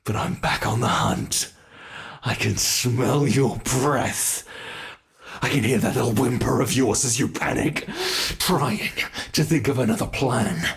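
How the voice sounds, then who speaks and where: A man's voice: mid-range voice, measured pace, vocal fry. A man voicing a centuries-old predatory monster in an ASMR roleplay, theatrical and taunting, savoring each phrase with haughty, possessive menace. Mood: menacing, hunting.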